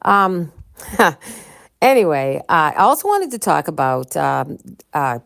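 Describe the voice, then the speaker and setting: Chatty low-voiced woman, dry sound. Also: slow, vocal fry, dry recording. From Chicago. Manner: chatty and excitable, many ums and restarts. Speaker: podcast co-host. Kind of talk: casual monologue to listeners.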